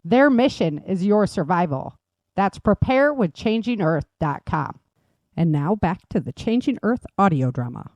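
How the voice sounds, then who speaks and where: A woman's voice: medium pitch, big pitch swings, measured pace, a little mellow, no room sound, lo-fi sound. A woman host reading scripted sponsor messages and promos for her own series.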